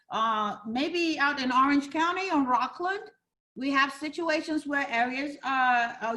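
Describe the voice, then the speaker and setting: A woman's voice: sits high, fairly bright tone, poor audio. A woman from Ghana teaching a fair housing class for realtors, role-playing buyers and agents as she talks. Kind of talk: interactive training class.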